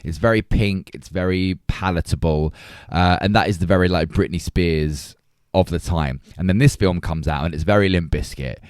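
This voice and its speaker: A man around forty co-hosting a casual film discussion, opinionated and animated in his delivery. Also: deep voice, normal speed, clean tone, dry recording, studio quality. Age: 30s or early 40s. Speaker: podcast co-host.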